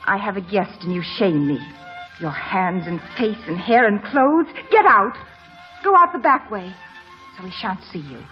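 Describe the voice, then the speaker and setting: A woman playing a proud, willful young gentlewoman in an emotional, theatrical 1950s radio drama style. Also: mid-range voice, lively intonation, a little slow, natural voice. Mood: indignant. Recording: muffled old radio broadcast with music.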